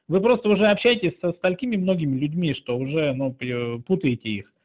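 Man, slightly high voice, sarcastic and teasing, slow drawl, muffled lo-fi recording. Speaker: channel author pranking a phone scammer. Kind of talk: casual mocking phone chat.

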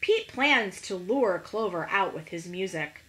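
Animated, bright-voiced middle-aged woman reading aloud. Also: lowish voice. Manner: expressive, pausing to ask listeners questions. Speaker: teacher reading to children. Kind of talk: animated picture-book read-aloud for young children. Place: small living room at home, indoors.

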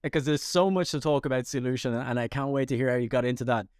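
A man's voice: mid-range voice, quick pace, darkish tone, smooth, no echo, studio quality. A man hosting a podcast interview, eager and polite as he welcomes and questions a guest. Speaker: podcast host. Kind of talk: interview host on a video call.

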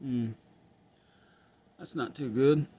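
A man's voice: medium pitch, steady tone, slow, heavy background noise. A man thinking aloud as he tests an engine at his garage workbench, casual and a bit frustrated. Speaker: home mechanic vlogger. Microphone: phone camera held close.

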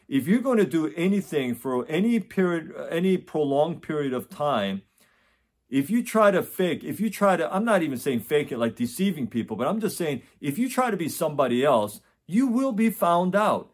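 A man in his forties from the United States, giving earnest advice straight to camera from a home office, often restarting phrases and checking in with "okay?". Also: on the high side. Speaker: test prep tutor. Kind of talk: direct-to-camera advice talk. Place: home office with framed certificates.

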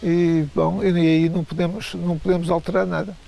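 A man's voice: high-pitched, flat delivery, a little fast, gravelly, mellow. An older man, answering interview questions hesitantly, with frequent restarts and repeated words.

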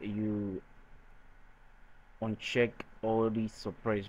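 A man presenting a software tutorial in a halting way, often repeating his phrases. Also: fairly low pitch, a little hiss or hum. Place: noisy room. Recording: dull lo-fi microphone sound.

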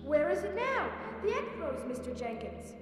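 Woman as very high-pitched, anxious young girl, echoing. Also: very animated, moderate pace. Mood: shaken. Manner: urgent, anxious. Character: frightened, earnest young girl on a fantasy quest. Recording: long cavernous reverb, music behind.

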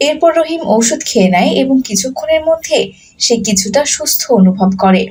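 A woman giving scripted narration for an animated story. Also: high voice, brisk, slightly rough. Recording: slightly distant, faint background noise.

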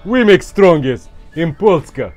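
A man's voice: on the high side, sing-song, unhurried, a little mellow, faint music behind, voice pushed up. A man voicing a boastful Polish man with a put-on Polish accent and broken English. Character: boastful Polish man bragging about ammo.

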